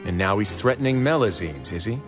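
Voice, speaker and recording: A man voicing a duke who runs a fortress prison, with a dry, relaxed and sardonic manner. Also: on the low side, brisk, soft background music, close to an everyday voice. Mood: wry. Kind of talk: video game voice acting.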